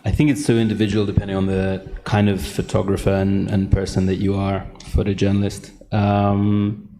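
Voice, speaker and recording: A man's voice: sits low, monotone, normal speed, mellow. A man answering reflectively as a photojournalist on a discussion panel. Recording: reverberant hall, faint background noise.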